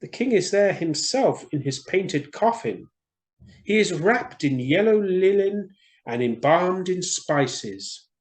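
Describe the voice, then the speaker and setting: A man's voice: high voice, sing-song, slow. A man reading a story expressively for children, in a theatrical and friendly storyteller's manner.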